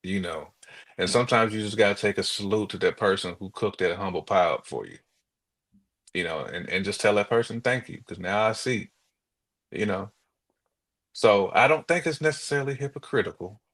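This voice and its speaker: A man with a fairly low voice talks as a podcast co-host. His delivery is casual and colloquial, working toward each point in halting phrases. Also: fairly bright tone. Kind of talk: casual relationship podcast chat.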